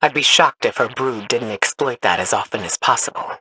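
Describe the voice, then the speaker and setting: An actor playing a composed, scholarly commander giving a mission briefing in a video game, with formal, courteous phrasing. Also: male voice, mid-range voice, fairly quick, pushed and bright, husky, no room sound. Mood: composed, intent. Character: composed, scholarly male commander advising soldiers.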